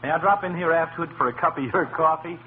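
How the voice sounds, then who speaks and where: An actor playing a wry, needling family doctor with a mock-pompous manner in an old radio comedy. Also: male voice, a little high, fast talker, close to an everyday voice. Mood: amused. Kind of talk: 1940s radio comedy acting. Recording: noisy tinny old radio recording.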